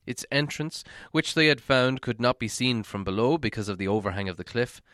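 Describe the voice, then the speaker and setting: A man's voice: medium pitch, a bit bright, no echo, studio quality. A man narrating a fantasy novel aloud as an audiobook reader. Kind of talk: storybook narration, read aloud.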